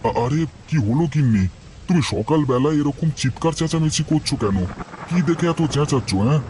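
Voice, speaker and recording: Man as worried village farmer, lowish dark voice, folksy, exclamatory, children's cartoon, faint background noise. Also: a little fast, close to an everyday voice. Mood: startled. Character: poor, fretful village farmer and husband, good-natured.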